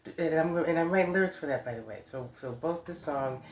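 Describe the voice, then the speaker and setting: A hoarse woman with a stuffed-up nose hosting a casual solo podcast, rambling with many restarts and fillers. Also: low-pitched, fast talker, darkish tone, reverberant, slight background noise. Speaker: podcast host and songwriter.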